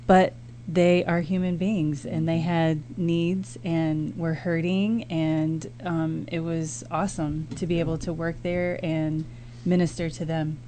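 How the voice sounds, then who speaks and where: A woman's voice: low voice, level tone, slow, warm tone. A middle-aged American woman talking casually as a guest in a radio interview. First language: English. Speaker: guest, missionary and former teacher. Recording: background noise and hum.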